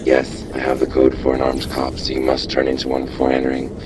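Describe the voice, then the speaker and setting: A man voicing a mysterious robot informant in a video game, secretive and measured as he gives instructions. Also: rumbling low, monotone, over loud music. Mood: cool, instructing. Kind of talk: video game character acting.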